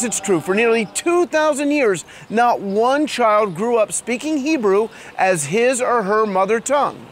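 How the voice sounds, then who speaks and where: A man's voice: sits high, a little hiss or hum. A man hosting, presenting in an earnest, scripted storytelling way outdoors in a town street. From immigrant to Israel. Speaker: host.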